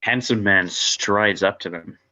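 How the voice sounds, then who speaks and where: A man's voice: lowish voice, a little slow, pushed and bright, lo-fi sound. A man giving scripted narration, reading out stage directions at an informal table read.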